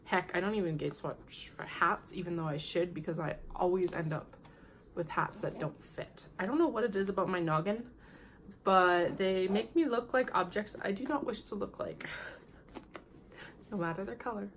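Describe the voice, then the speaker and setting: A woman hosting a knitting podcast, sick and nasal, chatting in a rambling, friendly way and clearing her throat now and then. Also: fairly low pitch, normal speed, fairly bright tone, a little hiss or hum, poor audio. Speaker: podcast host. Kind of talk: casual knitting podcast chat.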